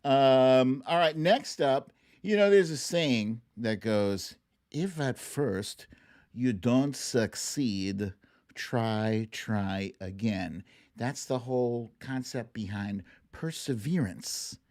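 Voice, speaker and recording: A livestream host commenting casually on clips in a playful, expressive way, with silly exclamations. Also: medium pitch, slow.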